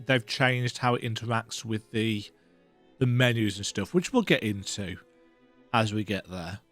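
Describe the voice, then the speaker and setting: A man giving casual, conversational commentary while playing a video game. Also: mid-range voice.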